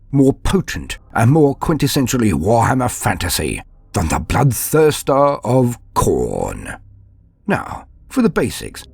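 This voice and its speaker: A man with a gravelly, husky voice hosts a fantasy lore show, narrating in a dramatic, portentous and formal manner. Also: medium pitch, a little mellow, no echo. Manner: theatrical, portentous, formal diction. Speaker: host of a fantasy lore channel.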